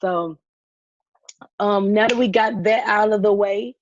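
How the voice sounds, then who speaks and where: A woman co-hosting a casual podcast over a video call, hoarse from losing her voice and talking loudly to be heard, with frequent ums. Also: mid-range voice, steady tone, a little slow, slight background noise. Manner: talks loudly to push past a weak voice, with frequent ums. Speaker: podcast co-host. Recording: dull lo-fi video call audio.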